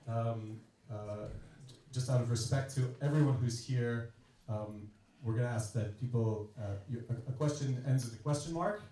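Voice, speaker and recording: A man moderating a public panel, halting and full of fillers as he sets rules for questions. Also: mid-range voice, mellow. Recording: echoey hall, far from mic.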